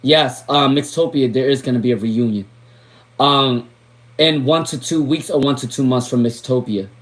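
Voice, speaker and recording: A man with a raspy, worn voice, chatting casually while giving live tarot readings and drifting into asides. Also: mid-range voice, normal speed. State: voice worn from talking all day. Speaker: tarot reader.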